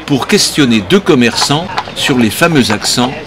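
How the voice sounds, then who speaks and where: A man giving scripted documentary narration in a measured, explanatory way. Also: mid-range voice, expressive, noisy background.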